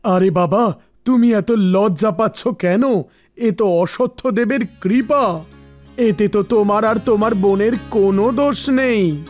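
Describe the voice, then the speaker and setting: A man voicing a learned, reassuring village priest in a cartoon story, measured and authoritative. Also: high voice, a little mellow. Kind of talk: dramatized Bengali cartoon storytelling. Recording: background music behind voice.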